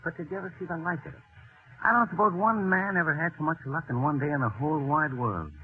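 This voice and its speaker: An actor playing a brisk, tough, streetwise policeman in 1940s radio style. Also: fairly high pitch, natural voice. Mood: dryly scornful. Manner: matter-of-fact, streetwise. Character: brisk tough crooked policeman named Jerry. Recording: muffled old radio recording.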